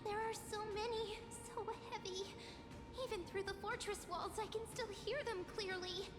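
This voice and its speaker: A very high-voiced girl heroine from a game, solemn and sensitive. Her poetic phrases ring with long echoing reverb, with prominent music behind. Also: steady tone, moderate pace. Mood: grave, awed. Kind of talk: scripted game dialogue.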